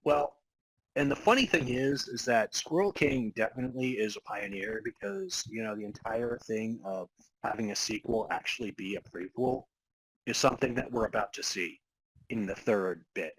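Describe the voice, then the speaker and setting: Husky man co-hosting a comedy podcast. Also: lowish voice, animated, moderate pace, a bit bright, no room sound, rough recording. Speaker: podcast co-host. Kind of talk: comedic dramatic reading and casual banter.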